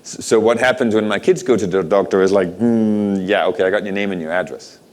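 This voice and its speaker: A man's voice: lowish voice, brisk. Danish-born man giving a keynote talk. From Denmark. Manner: rhetorical questions to the audience, conversational. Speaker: conference speaker.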